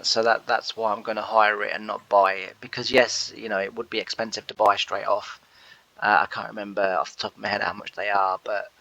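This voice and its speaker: Male game host, stuffy-nosed from a cold, rambling, deepish bright voice, dull dry mic. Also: gravelly, dry recording. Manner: rambling, many fillers and restarts. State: nasal from a cold. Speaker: gameplay host. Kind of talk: casual gameplay commentary.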